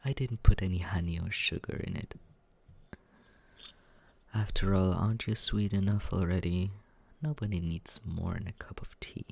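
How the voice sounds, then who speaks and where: A young man voicing a smug young man who teases and quietly looks after the listener, in a close, soft, whispered roleplay. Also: sits low, steady tone, normal speed, vocal fry, slight background noise. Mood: teasing. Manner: teasing, condescending, soft whispering. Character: smug teasing young man, mockingly caring. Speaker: ASMR roleplay performer.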